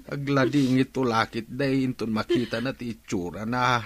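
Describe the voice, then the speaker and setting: A man's voice: medium pitch, fairly even intonation, moderate pace, close to an everyday voice. A man playing a worried, brooding father in a melodramatic radio drama, fretful and emphatic.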